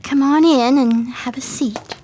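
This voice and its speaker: An actress playing a chic, scheming 1920s gambling-parlor hostess, coy and full of endearments, in a radio crime drama. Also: female voice, sits high, measured pace, mellow, slight background noise, low-quality recording. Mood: coy, sociable.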